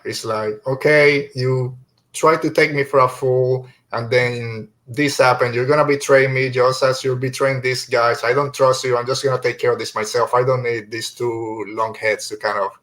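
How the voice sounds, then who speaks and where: A man speaks as a podcast host in an easy conversational style. His pitch is average, and he talks through his thoughts informally, like someone chatting with guests. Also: fairly even intonation, moderate pace, fairly bright tone. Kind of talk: casual podcast book discussion.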